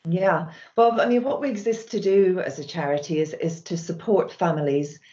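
Mellow-voiced Scottish woman, low and dull. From Scotland. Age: middle-aged. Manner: measured, reflective, with frequent restarts. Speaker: charity chief executive. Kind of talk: podcast interview answers.

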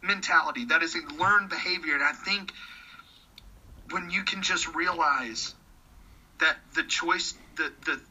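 A man in his thirties talks with real conviction in an easygoing chat. His voice is strikingly bright and forceful, and he often repeats words as he gets a sentence going. The recording sounds slightly dull and lo-fi. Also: fairly high pitch, measured pace, pauses often, slightly rough. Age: 30s. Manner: earnest, repeats words when starting sentences. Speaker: podcast guest. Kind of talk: casual conversation.

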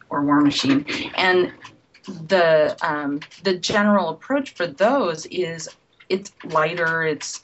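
Low-voiced chatty middle-aged woman. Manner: chatty, restarts phrases, laughs often. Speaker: game company editor. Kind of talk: casual interview answers. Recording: dull lo-fi recording with faint noise.

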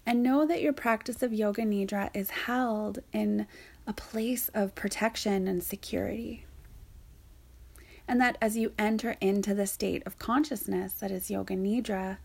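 Woman, meditation teacher, soft and soothing, husky breathiness, gentle vocal fry, long pauses. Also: mid-range voice, fairly even intonation. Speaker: yoga nidra teacher guiding a practice. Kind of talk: guided meditation narration.

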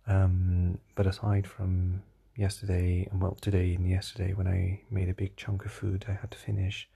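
A tired young man with a slightly hoarse voice, rambling hesitantly through a casual diary vlog with many fillers and restarts. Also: deep voice, monotone, unhurried, dark tone. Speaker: vlogger.

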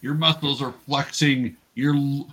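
A man's voice: medium pitch, fairly even intonation, measured pace, fairly bright tone, slight background noise. Male game master, improvised narration, patient explainer who encourages the players.

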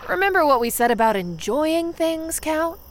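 A woman's voice: high-pitched, big pitch swings, moderate pace, a little hiss or hum. An actress playing a sarcastic, hot-headed female monster bent on revenge in a radio drama, speaking with emphatic attitude. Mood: dry sarcasm. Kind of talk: radio drama acting with narration.

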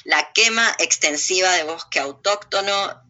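A woman's voice: lowish voice, measured pace, sharp tone. A woman giving an opinionated interview in a blunt, sardonic, emphatic way, repeating phrases to drive points home. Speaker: interview guest. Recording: muffled lo-fi audio.

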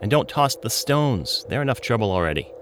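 A man's voice: medium pitch, very animated, fairly quick, close to an everyday voice. A wisecracking coyote played by a man needles everyone with slangy sarcasm. The delivery leaps up and down constantly in a comic audio-drama style, over a noisy outdoor ambience. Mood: teasing, instructive. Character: wisecracking, smart-aleck male talking coyote. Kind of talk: comedic audio drama acting.

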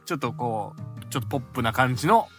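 A man co-hosting a casual podcast, reacting quickly with retorts. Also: medium pitch, expressive, soft background music. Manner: quick reactive tsukkomi, laughing a lot. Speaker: podcast co-host.

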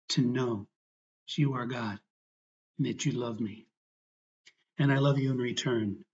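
A man leads a guided prayer as a priest, speaking at a middling pitch. His delivery is reverent and earnest, broken into unhurried phrases with pauses. The recording is slightly dull and lo-fi. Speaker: priest guiding meditation. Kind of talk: guided prayer, spoken meditation and gospel reading.

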